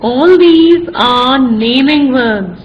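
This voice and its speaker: A woman teacher walks young students through a grammar lesson. Her voice sits high, and she takes each word at a very slow, patient pace, repeating examples so they stick. A faint hiss of background noise sits under the recording. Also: expressive, muffled recording. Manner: slow, deliberate, spells words out and repeats examples.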